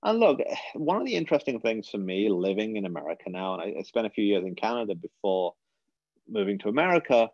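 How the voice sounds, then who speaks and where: Low-voiced British man telling his story. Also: very animated, fast talker, no echo, highs cut off. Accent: British English. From England. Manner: earnest, reflective storytelling with many restarts. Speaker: guest speaker. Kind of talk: webinar talk.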